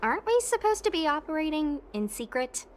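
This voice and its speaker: A woman's voice: high-pitched, sing-song, fairly quick, a little hiss or hum. A woman voicing a wry, skeptical young squad member in a video game, blunt, casual and teasing. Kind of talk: voiced game dialogue.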